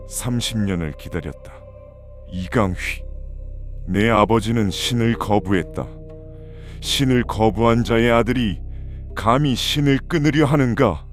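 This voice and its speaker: Man playing an imperious, low-voiced ancient god. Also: a little slow, soft background music, natural voice. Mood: menacing. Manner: imperious, solemn, declarative. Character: ancient, arrogant, commanding male god demanding servitude. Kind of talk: Korean occult audio drama acting.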